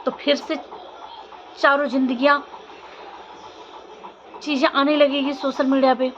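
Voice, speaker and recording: A woman's voice: high voice, flat delivery, measured pace. A young woman gives casual opinion commentary to viewers, insistent and questioning, often repeating her phrases. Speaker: vlogger. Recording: muffled lo-fi audio, faint background noise.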